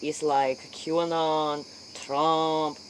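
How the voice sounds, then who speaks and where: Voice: medium pitch, little pitch movement, very slow, slight background noise. An interviewer in a spoof late-night role play, speaking inquisitively in put-on, foreign-sounding broken English.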